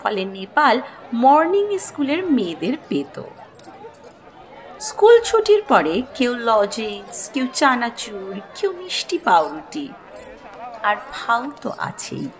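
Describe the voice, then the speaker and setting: High-pitched, expressive woman storyteller, unhurried. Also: a faint crowd, poor audio. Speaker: host and story narrator. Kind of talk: expressive scripted storytelling narration. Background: music under the voice.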